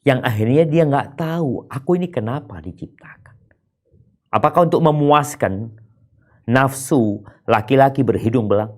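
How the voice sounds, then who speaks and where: A man preaching a sermon in a lively way, dropping to hushed whispers and then rising to loud exclamations. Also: mid-range voice. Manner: animated, swings from hushed whispers to raised exclamations.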